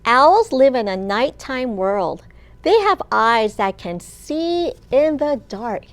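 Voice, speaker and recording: High-pitched woman reading slowly to children. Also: lively intonation. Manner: sing-song, asks questions and pauses for child listeners. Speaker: children's librarian and storytime host. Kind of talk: expressive read-aloud of a picture book to young children.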